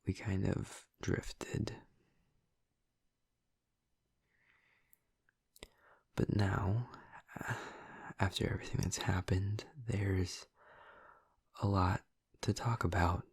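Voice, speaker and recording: A man playing a gentle, earnest vampire boyfriend in an intimate audio roleplay, speaking softly and haltingly in a husky, breathy, hushed voice. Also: low-pitched, little pitch movement, no room sound, whispered, studio quality, exaggerated voice. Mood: reflective, subdued. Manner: halting, soft-spoken, confiding with long pauses. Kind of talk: intimate audio roleplay, ASMR-like soft delivery.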